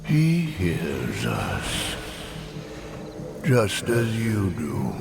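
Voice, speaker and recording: An actor voicing an ominous, otherworldly swamp spirit in a video game, growling and gravelly. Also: male voice, sits low, huge pitch swings, drawn-out words, loud music behind the voice, full character voice. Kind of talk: dramatic video game acting. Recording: loud noisy ambience.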